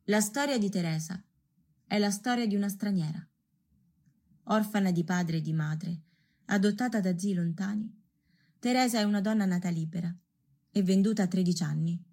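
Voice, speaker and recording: An actress playing a solemn storyteller in radio theatre, narrating a legend with measured, declamatory phrasing. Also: female voice, mid-range voice, dark tone, husky. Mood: solemn, lyrical. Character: female storyteller of a folk-legend tale, solemn.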